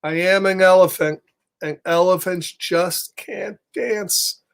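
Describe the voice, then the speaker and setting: A man's voice: high voice, steady tone, takes it slowly, vocal fry, clean tone, full character voice. A man voicing a self-doubting male elephant from a children's picture book, with emphatic delivery. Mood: dejected, frustrated.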